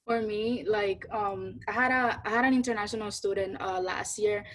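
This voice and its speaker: A woman's voice: mid-range voice, fairly even intonation, normal speed, fairly bright tone, poor audio. A young woman student on a video call panel, talking casually with many likes.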